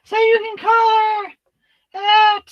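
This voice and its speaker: Radio-play soldier played by a woman: hammy, wailing, endlessly dying, high-pitched, dry and a bit muffled. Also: completely flat delivery, dry recording. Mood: plaintive, insistent. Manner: melodramatic, drawn-out wailing. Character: hammy dying male soldier, loudly overdramatic and talkative. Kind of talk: comic radio play acting.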